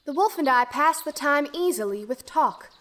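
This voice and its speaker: A woman's voice: sits high, lively intonation, normal speed, a bit bright. A woman playing an earnest, dutiful young girl who tells her own fairy tale formally in an audio drama. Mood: earnest, reflective. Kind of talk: first-person storybook narration and acting in audio drama.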